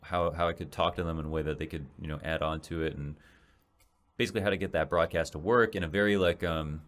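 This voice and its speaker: Young male podcaster, very low raspy voice, casual rambling delivery, close studio mic at home. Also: fairly even intonation, fast talker, fairly bright tone. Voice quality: deep voice that turns raspy. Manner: rambling, many restarts and fillers. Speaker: podcast host and esports caster. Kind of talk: casual solo podcast reflection. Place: small home room, indoors. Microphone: large studio mic in front of face.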